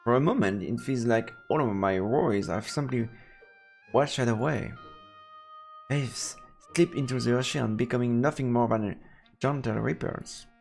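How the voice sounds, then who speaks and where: A man narrates a visual novel as a streamer, his medium-pitched voice full of creak. He reads haltingly and drops in casual comments. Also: a little mellow, faint music behind. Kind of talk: let's play narration with casual asides.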